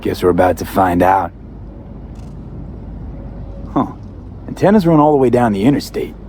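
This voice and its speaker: A male street mercenary in a futuristic game. His voice sits low and he talks casually, with clipped, dry understatement. There is faint outdoor vehicle noise behind him. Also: big pitch swings, normal speed, darkish tone. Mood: casual, wry. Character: laid-back, dry-witted male street mercenary in sci-fi city. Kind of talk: video game cutscene dialogue, understated.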